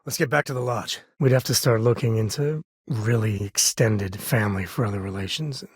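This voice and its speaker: An actor playing a rugged, warm-hearted frontier ranger and single father in a video game, with a folksy, laid-back drawl and dry wit. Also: lowish voice, normal speed, slightly rough, no room sound. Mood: earnest, firm. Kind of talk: video game voice acting, companion dialogue.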